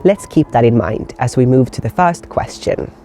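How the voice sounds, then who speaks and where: A man acting as host, narrating the video in a measured, scripted voice-over. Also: mid-range voice, lively intonation, warm tone, soft background music. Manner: measured, explanatory delivery.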